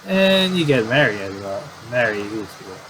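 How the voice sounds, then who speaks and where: A man doing casual game commentary and reading aloud, in a halting, rambling way with repeated words. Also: mid-range voice, very animated, background noise.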